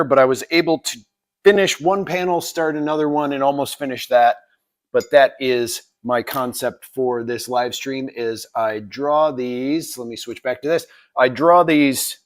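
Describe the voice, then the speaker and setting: A man chatting casually and off the cuff as he hosts a live stream, with wry muttered asides. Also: mid-range voice. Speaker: artist live-streamer, host.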